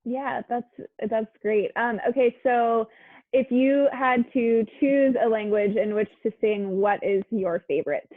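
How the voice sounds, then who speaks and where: Upbeat woman host, higher voice, giggly; dry tinny video-call sound. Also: unhurried, no echo. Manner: warm, giggly, enthusiastic. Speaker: interview host. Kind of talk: conversational interview.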